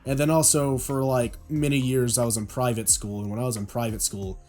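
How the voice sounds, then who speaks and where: Casting note: young man, deep voice, rambling self-deprecating podcast chat, mid pitch. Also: fairly even intonation, brisk, a little mellow. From Oklahoma. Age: young adult.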